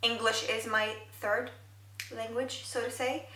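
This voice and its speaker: Young woman, Arabic native, beauty vlogger; bright, slightly high voice, roomy echo from a camera mic set back. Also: flat delivery, a little slow, distant mic. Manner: thoughtful, wordy, many fillers. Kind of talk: get-ready-with-me makeup chat. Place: bedroom, indoors.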